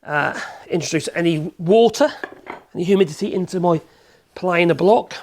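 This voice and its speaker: A man with a cold, hoarse, chatting in a rambling way as he demonstrates in his workshop. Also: high-pitched, normal speed. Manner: rambling, chatty, self-correcting, chuckles. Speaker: woodworking channel host.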